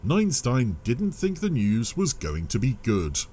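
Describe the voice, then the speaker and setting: A man's voice: medium pitch, wildly swooping pitch, unhurried, warm tone, a little hiss or hum. A man reading scripted dramatic narration for a fan-made audio drama.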